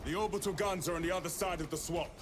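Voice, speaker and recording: An actor playing a zealous, grim super-soldier who makes solemn, scornful pronouncements in a video game. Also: male voice, sits high, fairly even intonation, quick pace, background noise, close to an everyday voice. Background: loud game score music.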